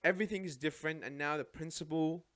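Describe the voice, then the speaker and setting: A young man from Iraq whose first language is Arabic, recounting his life story as a podcast guest in a studio, chatty and animated, often adding "right?". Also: a little high, quick pace, dry recording. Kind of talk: casual storytelling chat.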